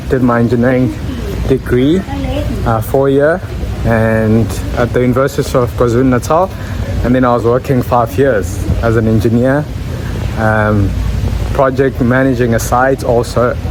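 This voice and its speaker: A young man from South Africa talking casually to the camera outdoors in a city, rambling with frequent restarts and fillers. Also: mid-range voice. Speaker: travel vlogger. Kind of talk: casual vlog talk to camera. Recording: street noise clearly behind.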